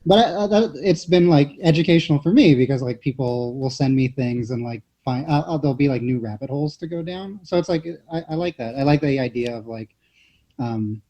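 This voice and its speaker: Halting, mellow-voiced man in casual discussion. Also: mid-range voice. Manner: halting, circles back, heavy use of "like". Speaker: panelist. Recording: slightly dull lo-fi audio.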